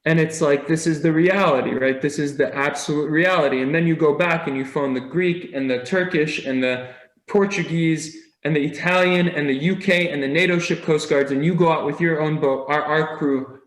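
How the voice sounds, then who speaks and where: A man's voice: fairly high pitch, steady tone, normal speed, echoey room. A man speaking as a podcast guest, telling his stories earnestly and reflectively in casual conversation. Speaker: podcast guest, nurse anesthetist. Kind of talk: casual interview storytelling.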